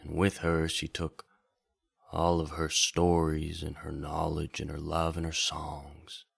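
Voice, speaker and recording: Nostalgic bard hosting a radio drama, acted by a man: husky, heavy fry, very slow and reflective, intimate late-night delivery. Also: monotone, dry recording, studio quality, exaggerated voice. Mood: wistful, reflective. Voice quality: deep, gravelly, husky. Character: wandering bard radio host from a bayou, reflective, nostalgic storyteller. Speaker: radio drama host and storyteller.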